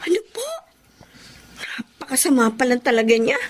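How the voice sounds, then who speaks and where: A woman's voice: fairly high pitch, animated, fairly quick, natural voice. A young woman playing a dutiful, respectful daughter and employee in a Filipino audio drama, speaking earnestly with a Filipino accent. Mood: concerned. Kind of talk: Filipino radio drama acting.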